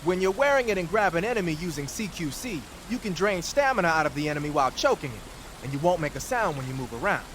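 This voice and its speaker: High-voiced, animated support guy. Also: fast talker, background noise. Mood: bemused. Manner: animated, informal, blunt. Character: exasperated, chatty male radio support man, lively and blunt. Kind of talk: video game voice acting.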